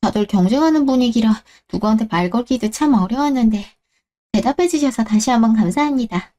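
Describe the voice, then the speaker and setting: A woman's voice: fairly high pitch, brisk, warm tone, close to an everyday voice. A woman voicing a nervous young first-time job applicant in a radio drama, timid and polite.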